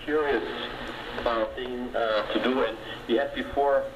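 A man giving measured, hesitant explanations in an unscripted broadcast discussion, heard through a shortwave radio speaker. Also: mid-range voice, fairly even intonation, muffled recording, background noise. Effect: played through a shortwave radio speaker. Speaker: radio commentator on tourism.

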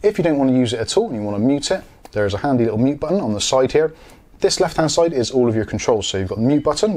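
Fast-talking British man presenting a review. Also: mid-range voice, a little mellow. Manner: chatty, explanatory. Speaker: host of a product review channel. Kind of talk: casual unboxing and review presentation. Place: small home studio room, indoors.

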